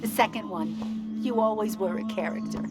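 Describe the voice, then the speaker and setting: A woman's voice: mid-range voice, normal speed, loud music behind the voice, close to an everyday voice. A woman playing a kindly, fussy, doddering old small-town lady in a video game. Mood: flustered, friendly.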